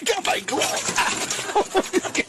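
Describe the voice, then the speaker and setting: A man's voice: very high voice, very animated, drawn-out words, over loud music. A man talking spontaneously in a very noisy video clip.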